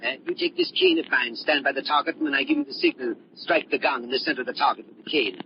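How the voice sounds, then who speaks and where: A man's voice: on the high side, wildly swooping pitch, fast, gravelly, heavily acted. An actor playing a sinister, commanding old doctor who boasts like a showman, in 1930s radio style. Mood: showy, commanding. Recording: muffled, noisy 1930s radio recording.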